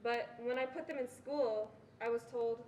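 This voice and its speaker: A woman's voice: fairly high pitch, steady tone, moderate pace, a little mellow, natural voice. A young woman playing a firm, practical mother in a scripted stage reading. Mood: plainly recounting. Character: firm, practical mother with plans for her children. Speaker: student reader in a reader's theatre performance. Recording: echoey room, distant mic, faint noise.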